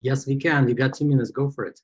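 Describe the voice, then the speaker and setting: A man hosting an online pitch event informally, speaking in an encouraging and somewhat hesitant manner. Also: fairly even intonation, quick pace, husky. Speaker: event host.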